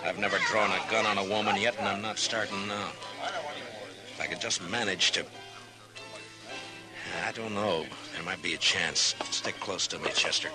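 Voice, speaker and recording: An actor playing a steady, plainspoken, determined frontier marshal in a 1950s radio western. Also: male voice, on the low side, normal speed, long pauses, loud music behind the voice, natural voice, rough recording. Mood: grimly resolved. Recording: vintage 1950s radio broadcast.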